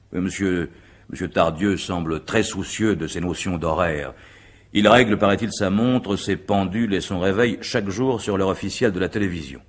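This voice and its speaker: A very formal examining magistrate in a radio mystery play. His voice is low and his phrasing measured. He probes methodically and pedantically, suspicious of the witness he questions. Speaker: actor.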